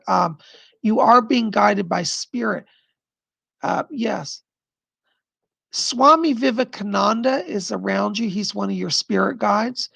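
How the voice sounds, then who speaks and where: A man hosting a live stream of psychic readings, answering viewer questions in an effusive, chatty way and pausing to listen to spirits. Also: high voice, gravelly, fairly bright tone, husky, dry recording. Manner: pauses to listen to spirits mid-sentence.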